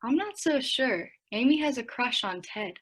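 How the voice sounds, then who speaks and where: A woman playing a gentle, worried teenage girl in a radio play, speaking earnestly. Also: on the high side, lively intonation, moderate pace.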